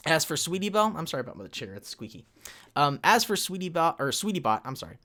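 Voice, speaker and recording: A man's voice: on the high side, big pitch swings, quick pace, sharp tone. A man giving an informal fan history presentation as a panel presenter, rambling hesitantly and often correcting himself.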